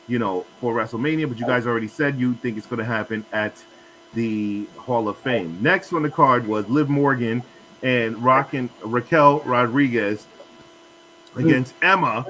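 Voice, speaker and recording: A man's voice: mid-range voice, a bit bright, rough recording. A man hosting a casual wrestling podcast, recapping matches in a rambling way full of fillers. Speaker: podcast host. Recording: faint hum and noise.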